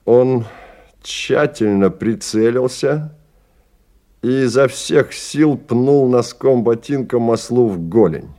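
A man's voice: mid-range voice, slow pace, dark tone. A man narrating a Russian radio play as a scripted literary story.

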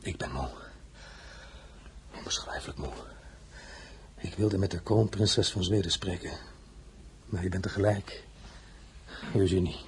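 An actor playing a proud, weary French emperor who swings from murmurs to commanding, threatening outbursts in a dramatic audio play. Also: male voice, low voice, flat delivery, unhurried, pauses often, darkish tone, a little hiss or hum. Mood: weary, wistful. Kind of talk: dramatic radio play acting.

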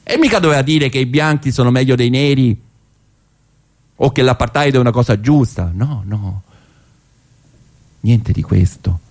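A man performing a theatrical narrative monologue in a conversational, ironic manner with rhetorical pauses. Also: medium pitch, lively intonation, a little mellow. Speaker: stage actor and monologist.

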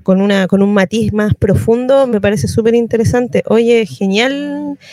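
Mellow Chilean radio host, dry sound. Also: medium pitch, a little slow, dry recording. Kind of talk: casual reflective interview chat.

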